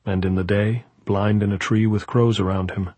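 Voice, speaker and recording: Guy doing a calm reserved guerrilla in a storybook, lowish husky voice, formal wording. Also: no echo, close to an everyday voice. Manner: measured, formal old-fashioned phrasing. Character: calm, principled guerrilla man, clear-headed and reserved.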